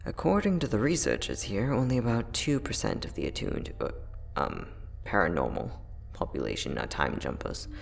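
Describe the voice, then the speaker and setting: A man playing a friendly, teasing time-traveling inventor, soft-spoken and chatty in an ASMR roleplay audio drama. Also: on the low side, huge pitch swings, normal speed, creaky, few pauses, exaggerated voice. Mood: wry, thoughtful. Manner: soft-spoken, chatty, playful, with frequent chuckles.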